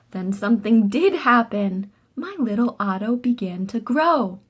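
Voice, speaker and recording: A woman reading a picture book aloud to young children as an expressive storyteller. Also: fairly high pitch, unhurried, husky.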